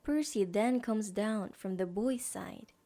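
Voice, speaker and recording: Slow, mellow woman narrator. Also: mid-range voice. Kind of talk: scripted story narration.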